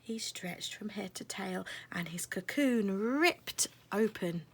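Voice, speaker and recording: A woman's voice: medium pitch, lively intonation, slow, husky. A woman narrating a children's picture book aloud as a scripted story.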